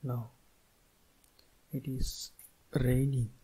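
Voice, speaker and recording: Very slow-speaking man guiding meditation. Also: medium pitch, flat delivery, mellow, slightly rough. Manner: soothing, deliberate, short phrases with long pauses. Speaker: meditation guide. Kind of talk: guided meditation instruction.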